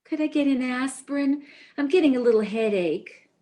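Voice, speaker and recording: Animated, anxious neurotic wife played by a woman. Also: high voice, moderate pace. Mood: fretful. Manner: fretful, emotional. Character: anxious, neurotic, sympathetic wife, easily overwrought. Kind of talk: stage comedy readers theater.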